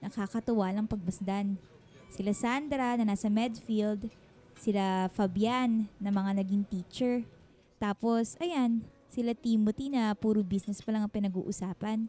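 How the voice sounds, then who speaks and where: A woman's voice: medium pitch, measured pace, warm tone, close to an everyday voice. An actress with a Filipino accent playing a guarded but friendly single mother of thirty in a radio drama. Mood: light, chatty. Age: 30s. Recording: music bed behind the voice.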